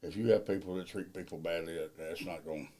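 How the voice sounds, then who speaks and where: A middle-aged man with a low, deep voice talks about his work in a loud, plainspoken way. His grammar is loose and folksy, and his tone stays mellow and relaxed throughout. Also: fast talker. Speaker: field crew general foreman. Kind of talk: casual interview answers.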